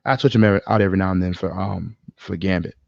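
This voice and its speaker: Male podcast co-host: creaky voice, slangy, profane, opinionated, slightly dull but dry recording. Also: deep voice, fairly even intonation, racing, a little mellow, no echo. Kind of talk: casual film discussion.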